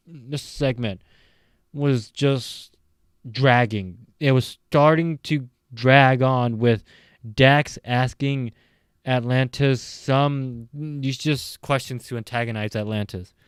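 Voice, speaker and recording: A man's voice: medium pitch, slow, clean tone, dry recording, clean studio sound. A man giving a casual, rambling and hesitant recap with his opinions as a reviewer, his voice a bit tired from late-night talking. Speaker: wrestling show reviewer.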